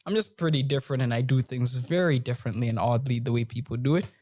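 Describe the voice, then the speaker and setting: A young man with a middle-pitched voice talks casually and fairly quietly, often stopping to correct himself. His voice sounds close and dry. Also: fast talker, no room sound. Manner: quiet, short interjections, self-correcting. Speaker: co-host. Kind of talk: casual chat.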